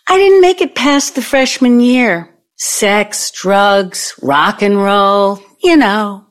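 A woman's voice: mid-range voice, slow pace, close to an everyday voice. A woman playing a brash, nostalgic, scheming older former girlfriend in an audio play, with a knowing, theatrical manner. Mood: wistful, sly.

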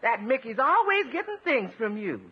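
An actress playing a folksy, deferential housekeeper and cook in a 1950s radio comedy. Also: female voice, on the high side, sing-song, moderate pace, slightly rough, heavily acted. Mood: gently scolding. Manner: folksy, deferential, addresses employer as sir. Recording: muffled, faintly noisy vintage radio recording.

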